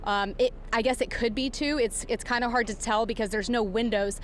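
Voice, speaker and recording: Higher-voiced woman reporting live outdoors. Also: steady tone. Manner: halting, improvised, explanatory delivery. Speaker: field news reporter. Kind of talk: live breaking news report. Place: outdoors outside a warehouse. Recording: clear background noise outdoors.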